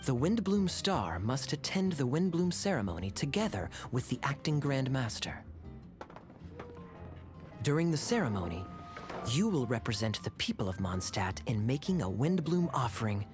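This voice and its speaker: A man voicing a smooth, teasing male knight captain in a video game dub. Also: mid-range voice, sing-song, moderate pace, over music, natural voice. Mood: wry.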